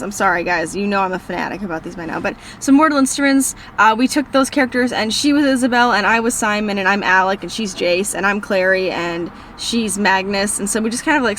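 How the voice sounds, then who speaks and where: A woman's voice: mid-range voice, fairly bright tone, a little hiss or hum. A teenage girl from Minnesota with a northern accent, rambling cheerfully through viewer questions in a casual vlog from her car. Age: teens. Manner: bubbly, rambling, self-deprecating. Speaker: book vlogger. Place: in a parked car.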